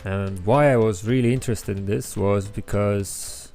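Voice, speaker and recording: A young man with an Estonian accent, his first language Estonian, walks through a coding tutorial in a relaxed way, with frequent ums as he thinks aloud. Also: on the low side, unhurried. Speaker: app developer tutorial host. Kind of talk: screen-recorded coding tutorial. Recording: faint background music.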